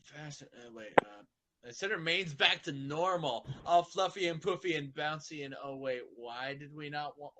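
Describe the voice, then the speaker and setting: A man's voice: on the high side, moderate pace, bright, forceful tone. A man reading lines casually in a group, sweary and joking, breaking off to ad-lib. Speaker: voice actor in a group fan fiction reading. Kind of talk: casual, improvised character reading.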